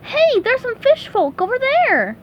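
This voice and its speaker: Excitable, anxious boyish cartoon sea sponge, played by a woman, squeaky high, swooping delivery, clear background noise. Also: a little slow, lo-fi sound, heavily acted. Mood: excited, calling. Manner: exclamatory, dramatic, stammering when upset.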